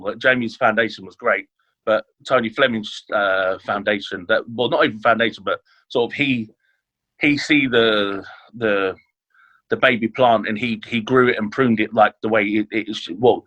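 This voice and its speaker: A man's voice: on the low side, moderate pace, pushed and bright, poor audio. A man, chatting about his career as a chef in an interview, with many fillers and restarts. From born in Leeds, grew up in London. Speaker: chef, podcast guest. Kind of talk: casual interview chat.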